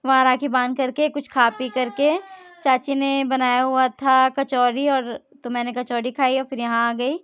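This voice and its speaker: Chatty high-voiced Indian woman vlogger. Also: level tone, a little slow, clear, resonant tone, no room sound, muffled recording. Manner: chatty, rambling, frequent self-corrections. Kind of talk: casual vlog narration.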